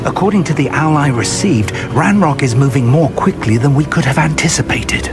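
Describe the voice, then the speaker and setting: An actor playing an earnest middle-aged wizard professor and mentor in a video game, speaking in a deliberate, articulate, scholarly way. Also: male voice, fairly high pitch, lively intonation, close to an everyday voice. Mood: grave, urgent. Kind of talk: dramatic video game voice acting. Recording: music playing behind the voice. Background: game music.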